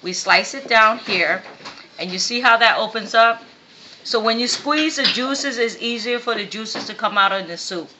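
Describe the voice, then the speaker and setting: A woman's voice: fairly high pitch, normal speed. A woman born in Vietnam who hosts a home cooking show, demonstrating step by step in a chatty way and often checking in with okay. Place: home kitchen. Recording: echoey kitchen with faint background noise.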